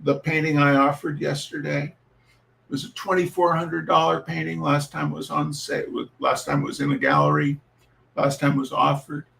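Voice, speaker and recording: Male host, high voice with some fry, theatrical and emphatic, for comic readings and informal audience chat. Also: flat delivery, normal speed, fairly bright tone. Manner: showman-like, emphatic, repeats phrases. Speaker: host reading humour pieces.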